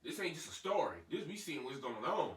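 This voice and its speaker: A man hosting a reaction video, talking to viewers in casual, animated slang. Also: a little high, fairly quick, far from the mic. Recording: roomy, away from the mic.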